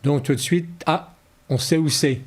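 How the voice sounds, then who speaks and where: A man's voice: a little high, steady tone, normal speed. A man being interviewed in a casual way, repeating words as he searches for his phrasing. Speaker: interview guest, horse-drawn carriage driver.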